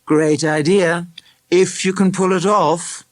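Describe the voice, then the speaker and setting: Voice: medium pitch, fairly even intonation, slow, no echo, clean studio sound, voice pushed up, close to an everyday voice. A storybook reader voicing a male club teacher who talks with wry relish and teases. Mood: wry teasing. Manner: teasing, wry.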